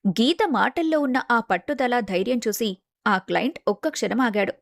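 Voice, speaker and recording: A woman's voice: on the high side, lively intonation, moderate pace, gravelly, dry recording, studio quality. A woman narrator reading a scripted family story in a dramatic storytelling style.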